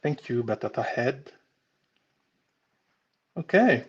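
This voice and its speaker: A man's voice: medium pitch, animated, slow pace, dull audio. Upbeat French-accented man on a live stream. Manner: upbeat, greets viewers one by one. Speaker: pastry instructor. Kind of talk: live-stream greeting chat. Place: home kitchen.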